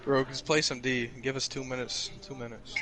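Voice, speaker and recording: A man's voice: mid-range voice, steady tone, fairly quick. A man of nineteen teasing and arguing with a friend in casual chat while gaming. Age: young adult. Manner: teasing, argumentative, swears freely. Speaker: host.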